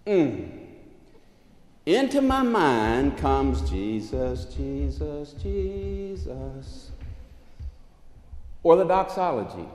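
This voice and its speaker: An older man delivering a sermon with a lively, swooping voice that rises high and drops low. He moves about the chancel and raises his arms as he preaches. The sound is roomy, with a long church reverb, heard from a distance. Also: extremely slow, long pauses, soft background music. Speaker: pastor. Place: large church sanctuary.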